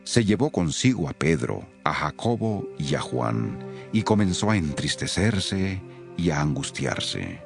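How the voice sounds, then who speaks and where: A man's voice: rumbling low, big pitch swings, a little slow, gravelly, music in the background. A man narrating scripture from a script as a solemn storyteller.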